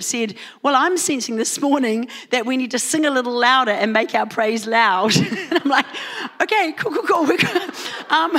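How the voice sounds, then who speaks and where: Woman, New Zealand accent, pastor, sermon, storytelling, playful asides, mid-pitched voice. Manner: storytelling, animated asides with laughs. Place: church service.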